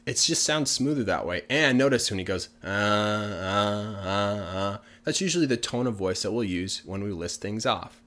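A man's voice: lowish voice, expressive, moderate pace, few pauses, fairly bright tone. A man who is a native English speaker, patiently explaining language points in a casual lesson with lots of examples. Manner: patient, plain-spoken explaining with many examples. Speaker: English teacher.

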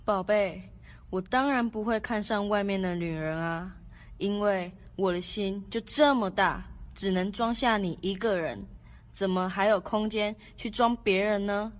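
Female actor as lovesick young male scholar, Taiwanese accent, medium pitch, sincere pleading delivery, student radio drama. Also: a little slow, close to an everyday voice. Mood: tender, reassuring. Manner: earnest, pleading.

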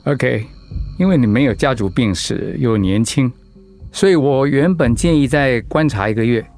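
An actor playing a calm, measured male doctor in a radio drama, explaining things professionally. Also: mid-range voice, faint music behind, lightly acted. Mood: calm, explanatory.

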